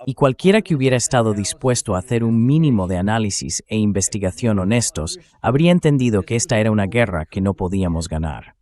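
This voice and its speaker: A male host with a fairly low voice delivers political commentary. He speaks in a measured, explanatory way and puts firm emphasis on his key points. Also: expressive, darkish tone. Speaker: show host. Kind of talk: political commentary to camera, translated read-aloud.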